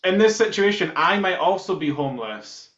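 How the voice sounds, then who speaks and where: A man's voice: sits high, lively intonation, normal speed, a bit bright, reverberant, highs cut off. A man with a Scottish accent chatting casually and joking as an interview guest. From Scotland. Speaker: band member being interviewed. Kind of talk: casual chat.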